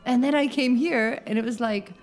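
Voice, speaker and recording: A woman's voice: fairly high pitch, normal speed, faint music behind. A woman from the United States, chatting as a podcast guest, laughing often and wandering into asides. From United States (Southern Oregon). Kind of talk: casual chat.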